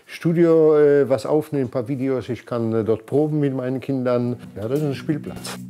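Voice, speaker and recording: Halting man in his sixties, from Poland. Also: mid-range voice. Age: 60s. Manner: halting, simple phrasing.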